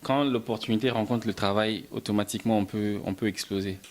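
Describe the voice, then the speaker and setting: A man from Belgium answering interview questions about his music and films, soft-spoken, often stumbling and repeating words. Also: on the low side, fairly even intonation, fairly quick. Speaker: musician and film writer, interview guest.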